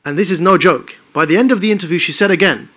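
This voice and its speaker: A man's voice: high voice, bright, forceful tone. Articulate British man giving a talk. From United Kingdom. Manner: articulate, emphatic, measured. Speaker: public speaker. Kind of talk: formal public talk.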